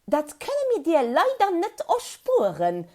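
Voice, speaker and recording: A woman acts out a little storybook bird, high and chirpy. It exclaims in a bouncing, slightly superior way. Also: wildly swooping pitch, a little slow, warm tone, heavily acted. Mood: brisk, important.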